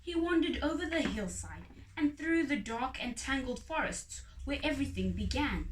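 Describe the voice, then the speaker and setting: A woman reads a children's story aloud with dramatic emphasis. Her fairly high voice rises and falls widely. She sounds a little way from the microphone, in a roomy, echoing space. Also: animated, normal speed. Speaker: storybook reader. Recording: roomy echo, distant mic, faint noise.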